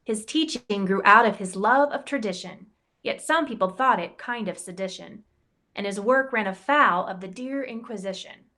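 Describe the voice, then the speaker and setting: A woman who directs campus ministry reads a rhyming picture book aloud, playful and expressive, giving the quoted lines a voice of their own. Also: medium pitch, moderate pace. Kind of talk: storybook read-aloud in rhyme.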